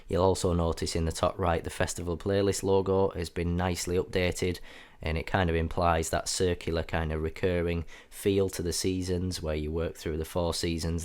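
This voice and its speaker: A man with a slightly croaky voice from a cold, giving a chatty, upbeat voiceover commentary over gameplay as a gaming channel host. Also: low voice. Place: voiceover recorded apart from the gameplay.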